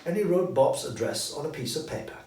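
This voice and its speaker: A man reading a story as narrator, in an expressive, deliberate scripted style. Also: a little high. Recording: roomy echo, distant mic, faint noise.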